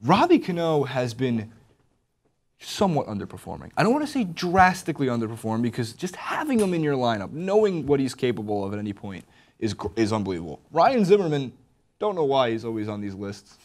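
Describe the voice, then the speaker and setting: A young American man hosts a sports panel show at a quick clip. His voice sits at an average pitch for a man, picked up on a lapel mic at a studio desk. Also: sing-song, a little mellow. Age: young adult.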